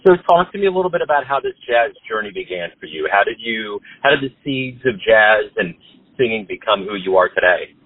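A male interviewer whose pitch swings up and down as he asks questions. The sound is tinny and phone-like, with a husky edge to his voice. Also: expressive, brisk, dry recording. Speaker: interview host.

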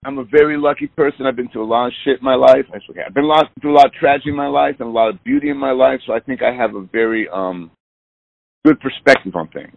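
A man's voice: mid-range voice, quick pace, gravelly, dry recording. A man in his sixties, a musician being interviewed, talking in a loose, candid, rambling way. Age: 60s. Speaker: musician guest. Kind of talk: casual interview chat. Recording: dull lo-fi line.

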